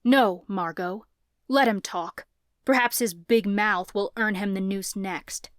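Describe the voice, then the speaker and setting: A woman's voice: mid-range voice, lively intonation, no room sound, clean studio sound, lightly acted. Woman playing a cold, snarling father. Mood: scornful. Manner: snarling, slow and cutting. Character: cold, scornful middle-aged father.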